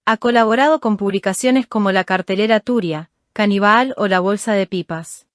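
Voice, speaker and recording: A woman hosting a radio show, reading a guest introduction and asking interview questions in a measured way. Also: medium pitch, no room sound, clean studio sound.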